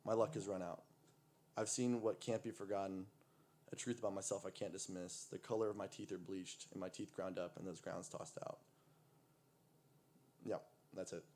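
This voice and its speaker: Low-voiced American man at an open mic. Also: fairly even intonation, normal speed, pauses often, a little mellow, a little hiss or hum. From United States (Texas), later East Africa. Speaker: amateur poet at an open mic. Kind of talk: poetry recital with casual introductions. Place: live open mic event at a coffee venue.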